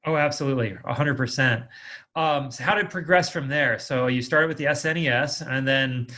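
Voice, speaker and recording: A man's voice: medium pitch, fairly quick, bright, forceful tone. A man hosting a casual podcast interview in an enthusiastic way.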